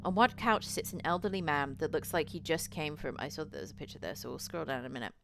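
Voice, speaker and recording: Low-voiced woman streamer reading over music. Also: expressive, quick pace, gravelly. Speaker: game streamer. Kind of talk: reading a visual novel aloud with casual commentary.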